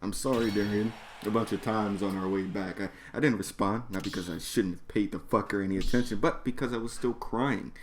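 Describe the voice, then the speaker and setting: A man's voice: fairly low pitch, steady tone, fairly quick, few pauses. A man telling a personal story in an emphatic, exasperated way, with plenty of swearing and slang. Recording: clearly noisy background.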